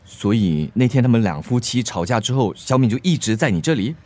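A man's voice: medium pitch, expressive, a little fast, darkish tone, lightly acted. A man acting a helpful, level-headed bystander in a radio drama, practical in manner. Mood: calm, practical.

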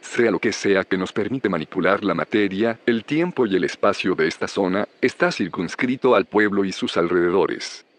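An actor with an accent from Spain playing a self-assured male inventor in an audio drama, speaking in a firm, measured, persuasive way. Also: deep voice, lightly acted. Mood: calm, assured. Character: self-assured male inventor, content in his secluded village. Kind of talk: audio drama acting, scripted dialogue.

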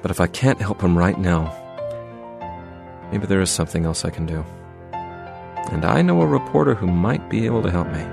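A man's voice: sits low, expressive, moderate pace, creaky, pauses often, over music. An actor playing an earnest, troubled man desperate to help his friend, in a radio drama. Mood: resolved.

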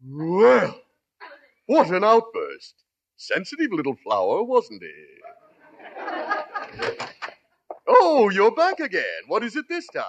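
Snippy, high-voiced salesclerk. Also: male voice, wildly swooping pitch, a little slow, dull audio, heavily acted. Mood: snide, mocking. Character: snippy, insulting male store salesclerk. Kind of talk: 1950s radio comedy acting.

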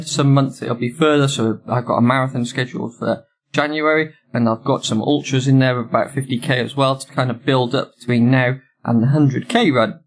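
A man with a slight cold, hosting his own podcast in a rambling, self-correcting way with plenty of ums and uhs. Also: mid-range voice. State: sniffly with a cold. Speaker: podcast host. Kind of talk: solo podcast monologue.

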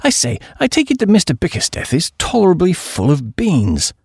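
A man voicing a flighty young upper-class English gentleman, breezy and exclamatory, with a posh British accent. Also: fairly high pitch, wildly swooping pitch, moderate pace, vocal fry, slightly rough, no echo, clean studio sound, voice pushed up, exaggerated voice. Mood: breezy, curious.

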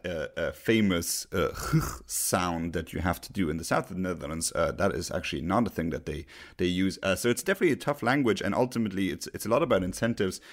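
Deep-voiced man, halting podcast talk. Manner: halting, many ums and uhs, restarts. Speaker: podcast host. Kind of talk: casual discussion.

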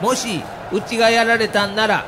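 Man acting a high-pitched, combative Kansai baseball manager. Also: fairly even intonation, normal speed, noisy background, close to an everyday voice. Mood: indignant, combative. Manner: combative, argumentative, emphatic. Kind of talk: dramatized documentary dialogue.

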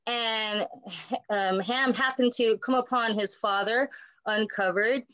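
A woman's voice: fairly high pitch, slow pace, sharp tone, dry recording, highs cut off. A woman pastor co-hosting a Bible study, explaining conversationally and often checking in with "right?".

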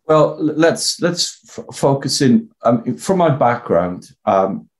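An older Welsh man from the South Wales Valleys, talking as a guest in a reflective, halting way with many restarts. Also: mid-range voice, sing-song. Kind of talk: reflective personal interview talk.

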